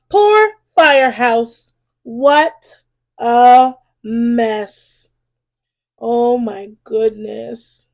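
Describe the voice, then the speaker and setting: High-pitched woman reading slowly to children. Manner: animated, drawn-out emphasis on exclamations and key phrases. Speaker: children's story reader. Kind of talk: expressive children's read-aloud storytelling.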